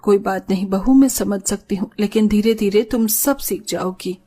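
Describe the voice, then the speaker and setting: A woman voicing a patient, concerned middle-aged mother-in-law who reproaches gently in a moral story. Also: medium pitch, normal speed, breathy edge, soft background music, natural voice. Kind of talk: animated moral story dialogue.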